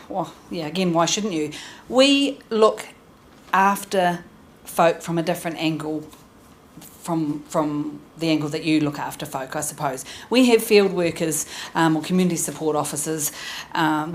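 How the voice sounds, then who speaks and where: Woman, New Zealand accent, low-pitched, chatty panel talk, handheld mic, faint noise. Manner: chatty, self-deprecating and informal. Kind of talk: panel presentation. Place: conference room, audience present.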